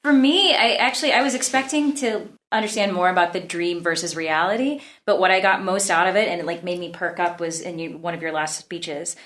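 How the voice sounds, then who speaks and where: A woman with a slightly low voice shares her thoughts in a casual group talk. She sounds reflective, working through her ideas as an actor discussing a play. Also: fairly quick, few pauses, a bit bright.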